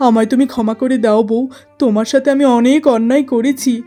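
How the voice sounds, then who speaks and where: A woman's voice: on the high side, steady tone, quick pace, dark tone, lightly acted. A woman playing a troubled merchant husband in a melodramatic cartoon story, earnest, aggrieved and regretful. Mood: remorseful pleading.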